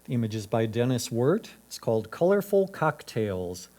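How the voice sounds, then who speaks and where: Mellow-voiced man announcing scores. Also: medium pitch, big pitch swings, normal speed. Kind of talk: announcing titles, makers and scores.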